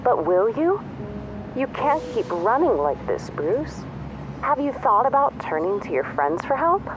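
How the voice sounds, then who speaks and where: A woman playing a caring but sardonic young woman in an audio drama, with an expressive delivery. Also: on the high side, a little slow, noisy background. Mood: worried, loving.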